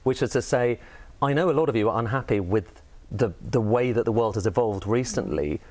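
A man's voice: mid-range voice, animated, brisk, a little mellow. A man commenting on economics in a panel debate, thinking aloud and hedging with frequent qualifiers. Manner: thoughtful, hedging, many "kind of" and "I mean".

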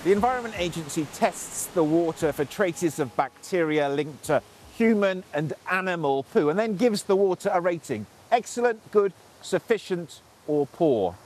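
A man's voice: sits high, animated, moderate pace, a little mellow, slight background noise. A man speaking as a television reporter on location outdoors. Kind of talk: piece to camera and interviewing. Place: outdoors by a river.

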